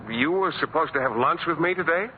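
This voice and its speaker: A man's voice: a little high, brisk, lightly acted. An actor playing a shy, easily confused male biology teacher in a 1940s radio comedy, speaking hesitantly. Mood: bashful. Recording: tinny old radio broadcast with clear hiss.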